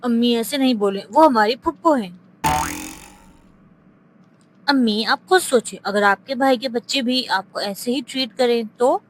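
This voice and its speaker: A woman playing a polite, earnest young family member in an animated moral story, speaking in a gently reasoning manner. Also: on the high side, measured pace, long pauses, close to an everyday voice, poor audio.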